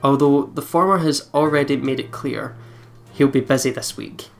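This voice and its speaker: A man's voice: medium pitch, steady tone, moderate pace, gravelly. A man hosting a let's play, switching between reading story text aloud and chatty asides, with game music behind. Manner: giggly, chatty asides between read passages. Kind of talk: reading visual novel text aloud mixed with casual chat. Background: game background music.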